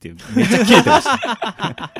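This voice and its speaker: A man hosting a casual radio show, telling anecdotes in an animated way and acting out the quotes. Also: very high voice, fairly quick, slightly rough, no echo. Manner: animated, acts out quoted lines.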